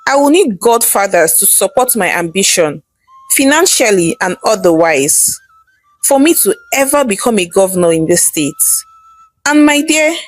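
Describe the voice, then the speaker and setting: A woman storyteller voicing a calm, affectionate husband patiently explaining things in a folktale. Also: medium pitch, moderate pace, dry recording, faint music behind. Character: calm, easygoing husband, aspiring politician. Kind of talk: storybook character dialogue.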